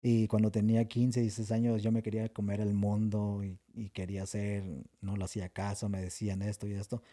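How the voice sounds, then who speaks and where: A man's voice: on the low side, completely flat delivery, a little fast, dark tone. A Mexican man with a Mexican accent hosting a podcast, chatting in a friendly way with restarts and "este" fillers. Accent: Mexican Spanish. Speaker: podcast host. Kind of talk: casual podcast interview.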